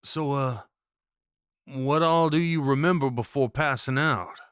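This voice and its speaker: Man acting, Old West cowboy, protective, awkwardly kind, informal, medium pitch, intimate roleplay, dry recording. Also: measured pace, fairly bright tone, smooth, no echo, lightly acted. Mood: gentle, reassuring. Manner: folksy, reassuring, informal.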